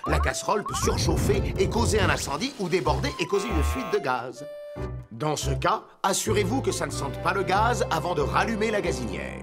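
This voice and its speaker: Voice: mid-range voice, big pitch swings, brisk, over loud music. An actor in a French cartoon dub playing a helpful rescue fire truck, giving safety advice in a didactic way. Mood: instructive. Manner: didactic, clearly phrased.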